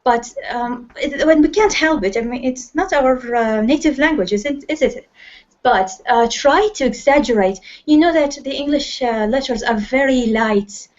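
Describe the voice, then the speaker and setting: A woman's voice: high voice, moderate pace. Iraqi woman teaching over a call. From Iraq. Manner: hesitant, many fillers and restarts. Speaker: English class teacher. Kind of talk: online class instruction. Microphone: computer mic on a video call. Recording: lo-fi online call audio.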